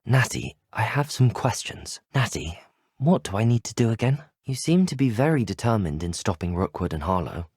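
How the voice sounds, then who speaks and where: Earnest young British wizard student. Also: male voice, fairly low pitch, big pitch swings, normal speed, a little mellow, breathy edge, dry recording, clean studio sound, exaggerated voice. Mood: friendly, curious. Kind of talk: video game character dialogue.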